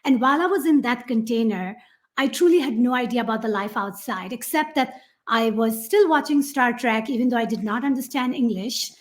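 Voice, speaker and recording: A middle-aged woman with a Pakistani accent, a guest on a podcast, telling her story in a rambling, animated way with many fillers. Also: a little high, fairly quick. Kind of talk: podcast interview.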